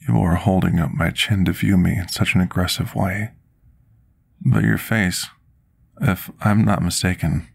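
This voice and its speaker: Guy doing a timid potion master for asmr roleplay, deep husky soft voice, formal and deferential, bit of fry. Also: full character voice. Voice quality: husky, gravelly. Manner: formal, polite, submissive, archaic wording. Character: gentle, timid, deferential male potion master serving a dragon.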